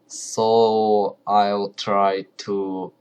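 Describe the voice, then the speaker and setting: A man with a Ukrainian accent, English not his first language, talking quietly and carefully to camera and often pausing to search for words. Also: on the low side, little pitch movement, clear, resonant tone. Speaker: video creator. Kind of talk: casual talk to camera.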